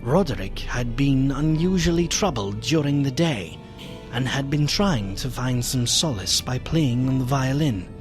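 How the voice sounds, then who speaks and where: A grave, formal gentleman in a gothic radio play. His medium-pitched voice is deliberate and haunted, with faint music behind it. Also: expressive. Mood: grave, foreboding. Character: concerned, courteous gentleman friend, haunted narrator of gothic tale. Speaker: narrator and visiting friend. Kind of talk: gothic radio drama narration and dialogue.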